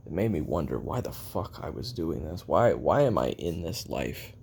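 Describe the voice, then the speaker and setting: A man playing a bitter, weary assassin in an audio roleplay, recounting his life in a brooding, profane monologue with heavy pauses. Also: rumbling low, sing-song, creaky, darkish tone, breathy edge, heavily acted. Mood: wistful, anguished.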